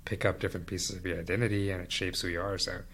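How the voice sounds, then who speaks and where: A man who grew up in India, Venezuela and Canada, reflecting on his life as an interview guest in a measured, explanatory way. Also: deep voice, level tone, a bit bright, a little hiss or hum. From India and Venezuela, then Canada from age thirteen. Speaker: podcast guest, business executive. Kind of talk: conversational interview, reflective personal storytelling.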